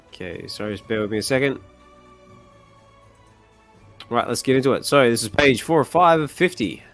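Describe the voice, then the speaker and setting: A man with a slightly hoarse voice hosting a comic reading stream, chatting casually and rambling with lots of fillers. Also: medium pitch, expressive, normal speed, a bit bright, faint music behind. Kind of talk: casual chat.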